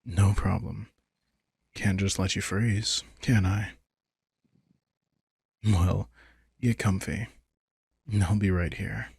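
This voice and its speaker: Man acting as a husky, low-voiced protective vampire king. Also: takes it slowly, long pauses, a little mellow, no room sound, heavily acted. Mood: soft, teasing. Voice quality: husky, gravelly. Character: young male vampire king, protective of his familiar. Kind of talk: intimate roleplay audio drama acting.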